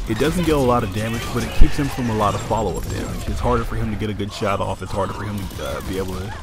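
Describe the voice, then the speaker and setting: A man commentating his own gameplay, explaining tactics in a coaching tone with quick excited asides, his nose a little stuffy. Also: fairly low pitch.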